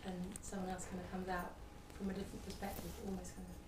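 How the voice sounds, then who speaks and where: A woman's voice: fairly low pitch, completely flat delivery, quick pace, darkish tone, recorded from a distance. A woman interviewing a musician, asking long, hesitant questions with many restarts. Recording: roomy, off-mic with faint ambience.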